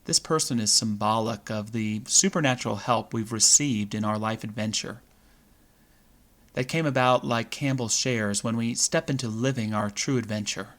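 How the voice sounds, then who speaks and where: Man guiding a meditation in measured phrases. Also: fairly low pitch, a bit bright. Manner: measured, deliberate phrasing with pauses. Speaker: meditation guide. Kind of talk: guided visualization meditation.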